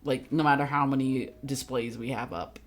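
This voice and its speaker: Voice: medium pitch, steady tone, normal speed. A young adult game streamer chatting casually with viewers in a sweary, giggly, teasing manner. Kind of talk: casual livestream chat with viewers.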